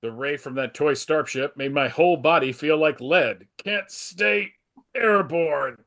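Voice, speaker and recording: A man's voice: a little high, measured pace, vocal fry, fairly bright tone. A man voicing an earnest male superhero in a dramatic comic book reading. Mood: dazed, disbelieving.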